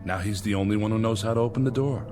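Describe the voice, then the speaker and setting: An actor playing an earnest, desperate villager, speaking with plain, urgent intensity in 1960s television drama style. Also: male voice, lowish voice, fast talker, lightly acted. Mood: explaining. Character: earnest, desperate hungry villager rallying his people. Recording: old television soundtrack with loud music.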